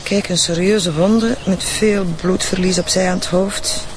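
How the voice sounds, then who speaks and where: A Flemish actress playing a frank, careful forensic pathologist in a radio drama, explaining in a familiar way. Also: female voice, on the low side, steady tone, unhurried, lightly acted. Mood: clinical. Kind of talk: naturalistic radio drama dialogue.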